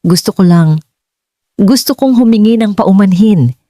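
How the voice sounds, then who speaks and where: A woman narrator acts out a Filipino billionaire boss in an audiobook romance, a remorseful man who speaks haltingly. The voice is mellow and dry, with faltering, hesitant phrasing. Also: mid-range voice, expressive, no room sound, natural voice.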